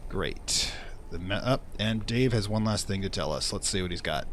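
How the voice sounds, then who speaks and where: A man's voice: lowish voice, moderate pace, fairly bright tone, a little hiss or hum. A man hosting a let's play, commenting on the game and reading its text aloud. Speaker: gaming channel host.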